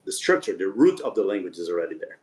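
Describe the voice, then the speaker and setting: A man's voice: sits low, sing-song, fast talker, darkish tone. A man whose first language is Portuguese, talking earnestly as a podcast guest, with some non-native phrasing. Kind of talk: casual interview talk.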